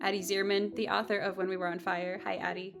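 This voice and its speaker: A woman's voice: medium pitch, little pitch movement, fast talker, vocal fry, over loud music. A woman hosting a podcast conversation in a casual, hesitant and rambling way with a giggly streak. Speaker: audio drama creator and host.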